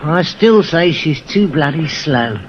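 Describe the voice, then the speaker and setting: Touchy, querulous sailor crook. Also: male voice, fairly high pitch, animated, slow. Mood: worried. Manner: querulous, slangy working-class speech. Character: touchy, worrying small-time seaman crook. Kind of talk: 1950s radio drama acting. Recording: dull, noisy old radio recording.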